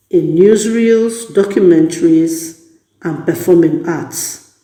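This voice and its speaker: Slow, mellow man in an echoey room. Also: fairly high pitch. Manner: formal, measured, deliberate phrasing. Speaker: guest contributor. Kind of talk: prepared monologue, reading out an answer. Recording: roomy, echoing sound.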